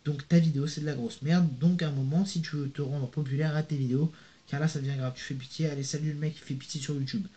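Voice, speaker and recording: A young man holds forth to his camera in a casual, slangy rant. His voice sits a bit high for a man, and he keeps turning to the listener, stumbling into restarts and fillers as he goes. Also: fast. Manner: animated, slangy, addresses viewer with "tu vois" and "gros"; reads out hostile comments, many fillers and restarts. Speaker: YouTuber.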